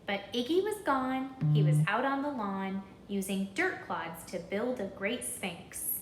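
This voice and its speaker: A woman reading a children's story aloud in an expressive, deliberate, rhythmic way, pausing to put questions to listeners. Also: mid-range voice, reverberant, background noise. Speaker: storybook reader.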